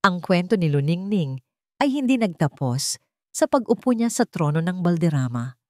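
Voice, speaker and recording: A woman narrating a drama story in a measured, expressive storytelling manner. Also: fairly low pitch, no room sound, studio quality.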